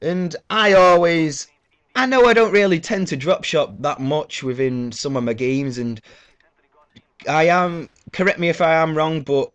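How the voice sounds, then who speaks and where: A man's voice: fairly high pitch, a little slow, fairly bright tone. A man giving casual gameplay commentary with a blocked nose, rambling and swearing loosely between fillers. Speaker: gaming YouTuber.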